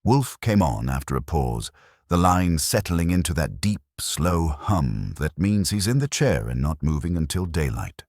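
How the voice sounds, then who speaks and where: Guy narrating a detective audiobook, first person, super low voice, dry close sound. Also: very animated, unhurried, darkish tone, dry recording.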